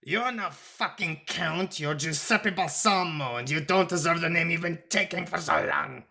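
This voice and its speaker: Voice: medium pitch, slow, gravelly, sharp tone, voice pushed up, full character voice. A storyteller voicing an indignant, lofty ancient Egyptian god who takes the form of a feather quill. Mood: furious.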